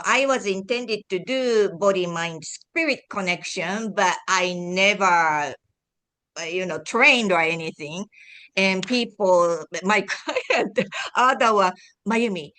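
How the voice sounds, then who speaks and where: A woman from Japan speaking English with a Japanese accent, a guest telling her story in a halting way with many restarts. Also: medium pitch, a bit bright. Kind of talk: casual interview talk.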